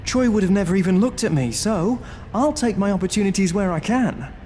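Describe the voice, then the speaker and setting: An actor playing a nervous, self-deprecating young volunteer in a video game, informal and easily flustered. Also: male voice, high-pitched, animated, a little fast, dark tone, slight background noise. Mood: flustered, sheepish. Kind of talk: video game cutscene acting.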